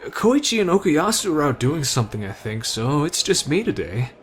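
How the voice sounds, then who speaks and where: A man's voice: a little high, very animated, normal speed. A man playing an easygoing, friendly but tough teenage delinquent in an anime roleplay, casual and slangy. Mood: friendly upbeat. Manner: casual, slangy, addresses listener as buddy. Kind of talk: anime fan ASMR roleplay.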